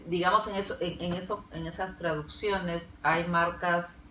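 A woman moderating a university panel, asking measured questions with some fillers. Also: on the low side, steady tone, bright, forceful tone. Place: university lecture room, seated at panel table. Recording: roomy, distant, faint hiss.

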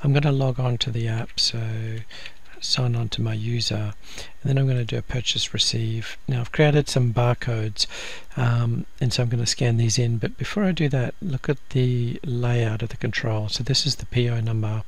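A man with noticeable fry in his voice narrates a software screencast. His pitch sits in an average male range. He explains each step in an informal, instructional way, as a tutorial presenter would. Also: steady tone, normal speed. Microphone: screen-recording voice-over.

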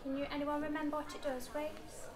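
A woman's voice: sits high, flat delivery, a little fast, over a noisy crowd. A middle-aged woman teaching young children in an encouraging, questioning way. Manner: encouraging, praising. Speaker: primary school teacher. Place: primary school classroom, indoors. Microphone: distant camera microphone. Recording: echoey, noisy classroom with children.

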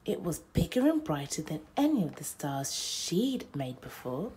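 A young woman narrating a children's bedtime picture book aloud, with deliberate, dramatic phrasing. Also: low-pitched, very animated, dark tone, slightly rough.

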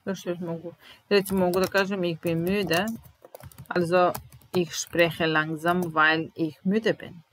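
Slow, low-voiced Serbian-accented woman teacher. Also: fairly bright tone, slight background noise, low-quality recording. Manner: repeats each phrase slowly while typing. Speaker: German teacher. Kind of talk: slow, deliberate language lesson. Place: small home room, indoors.

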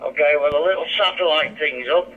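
A man's voice: on the high side, a little fast, slight background noise. A man chatting bluntly and informally as a radio ham, heard through a digital radio transmission. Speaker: amateur radio operator. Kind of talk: casual two-way radio chat. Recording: dull digital handheld radio speaker.

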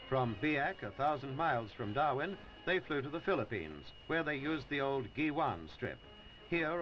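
A man narrating a 1950s newsreel in a formal, measured announcer's delivery. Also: fairly high pitch. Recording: dull old newsreel film soundtrack.

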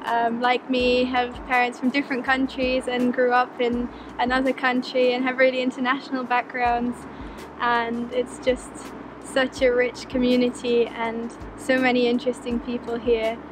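Student raised in Luxembourg, 20s, composed and monotone, outdoor noise. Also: female voice, on the high side, moderate pace. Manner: articulate, composed. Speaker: master's student. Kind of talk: on-camera interview answer. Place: outdoor campus garden.

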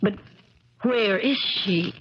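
An actress playing a loyal, firm niece with a deliberate manner in a 1970s radio drama. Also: female voice, mid-range voice, husky. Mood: gentle, concerned. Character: loyal, firm young niece guarding her uncle. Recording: crackly, dull 1970s radio recording.

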